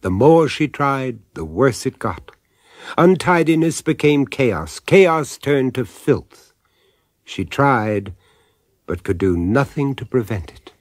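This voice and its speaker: An actor narrating a supernatural radio drama as a grave storyteller, with measured, suspenseful phrasing. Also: male voice, medium pitch, sing-song, no room sound, studio quality. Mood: ominous.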